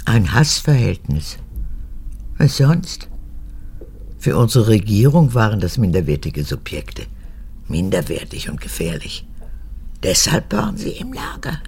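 A woman's voice: very deep voice, sing-song, a little slow, gravelly, long pauses, darkish tone, slightly rough, heavily acted. An actress playing a frail, cracked-voiced old woman, a needy and spiteful mother with a childlike wheedling manner, in a radio drama. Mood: hateful, unrepentant. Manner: wheedling, childlike, swinging between whisper and outburst.

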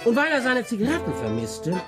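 A man's voice: very high voice, huge pitch swings, fairly quick, loud music behind the voice, full character voice. An older man playing a whimsical, grumbling grandfather in a radio play, telling tall tales with theatrical emphasis and muttered asides. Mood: theatrical storytelling.

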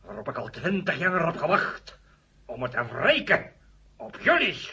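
An actor playing a bitter, vengeful professor with a sarcastic, theatrical delivery in a radio drama. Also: male voice, on the high side, huge pitch swings, measured pace, pushed and bright, breathy edge, full character voice. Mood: bitter, scornful. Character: bitter, vengeful male bomb-inventing professor, scornful of Americans. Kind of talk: 1970 Dutch radio drama acting. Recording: muffled old 1970 radio recording.

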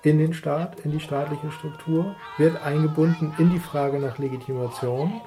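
A man's voice: on the high side, fairly even intonation, normal speed, background noise. A man in an analytical discussion, thinking aloud in an abstract, reflective way.

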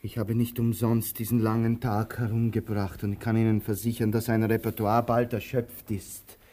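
An actor playing an earnest, questioning tramp with an insistent, inquisitive manner in a radio drama. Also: male voice, fairly low pitch, flat delivery, moderate pace, warm tone, lightly acted. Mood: earnest, measured. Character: earnest, questioning male tramp, bossy yet helpful. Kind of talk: theatrical radio drama acting.

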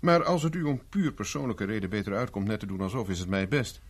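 An actor playing a persistent private investigator in a 1970s radio drama, speaking dry and insistent. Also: male voice, lowish voice, quick pace, lightly acted. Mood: confronting. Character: dogged male private investigator, cool and persistent. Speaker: private detective Carver.

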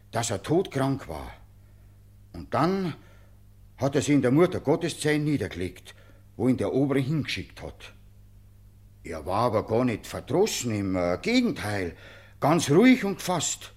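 A man's voice: medium pitch, husky. An actor playing a simple, earnest Bavarian monastery brother in a radio play, recounting what he witnessed in an emphatic, rustic way. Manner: emphatic, rustic storytelling with small hesitations. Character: simple monastery tailor brother, earnest, plain-spoken eyewitness.

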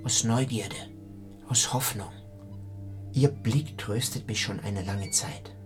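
An actor playing an odd, needy man who confesses in a halting, politely evasive way in a radio play. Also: lowish voice, over music. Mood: confiding. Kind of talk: German radio play acting.

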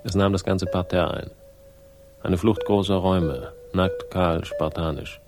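An actor playing a world-weary male sleuth in a German radio crime drama, speaking with dry, laconic irony and a gravelly voice. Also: low voice, fairly even intonation, a little slow, over music. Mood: wry narration. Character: sardonic, world-weary male crime sleuth telling his own story. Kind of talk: 1960s German radio crime drama, first-person narration and dialogue.

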